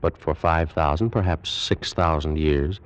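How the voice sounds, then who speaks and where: An actor playing a proud, fervent ancient Egyptian priest and alchemist in a grave, theatrical 1940s radio drama style. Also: male voice, very deep voice, unhurried. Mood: solemn, assured. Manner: grave, formal, theatrical delivery. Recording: muffled old-time radio recording.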